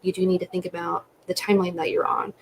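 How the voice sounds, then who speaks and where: A woman's voice: lowish voice, flat delivery, fast talker, gravelly. A young woman giving methodical, advisory answers as a licensing expert on a webinar panel. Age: young adult. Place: indoor room with curtains. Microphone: computer microphone on video call. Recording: faint background noise on video call.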